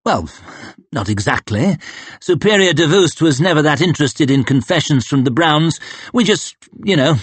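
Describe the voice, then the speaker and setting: A man's voice: animated, moderate pace, slightly rough, no room sound. A man voicing a cowardly, whining young male inquisitor in a raised, pleading voice. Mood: defensive. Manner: high, pleading and defensive.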